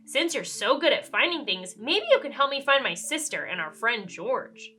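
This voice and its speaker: A woman voicing an excitable, eager young boy in a children's storybook, loud and exclamatory. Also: fairly high pitch, moderate pace, husky, faint music behind, exaggerated voice. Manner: calling out loudly, exclamatory.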